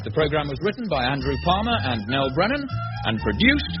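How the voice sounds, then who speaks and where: A man's voice: fairly high pitch, sing-song, fairly quick. A man reading scripted closing credits as a radio announcer. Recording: dull old radio recording, loud music.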